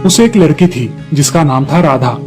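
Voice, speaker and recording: A man's voice: a little high, animated, normal speed, darkish tone. A man narrating a scripted moral story for children as the storyteller. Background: music.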